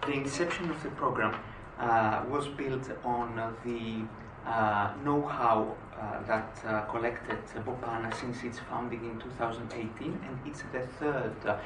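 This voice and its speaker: A man from Greece giving a conference presentation, pausing often with "uh" between phrases. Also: medium pitch, fairly even intonation. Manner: frequent "uh" hesitations. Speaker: conference speaker. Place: conference hall. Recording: echoey hall, distant mic, noisy.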